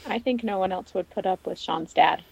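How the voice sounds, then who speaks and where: A woman's voice: mid-range voice, normal speed, vocal fry, a little mellow, breathy edge, no echo, rough recording. A woman co-hosting a podcast, casually talking over a television episode. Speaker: podcast co-host.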